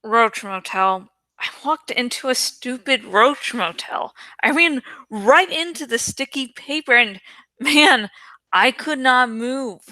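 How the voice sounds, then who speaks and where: Woman reading as a slow, defensive teenage boy. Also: high-pitched, animated, bright, forceful tone, no room sound, pitched above the performer's own voice, heavily acted, rough recording. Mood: frustrated. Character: stubborn, sheepish teenage boy leader.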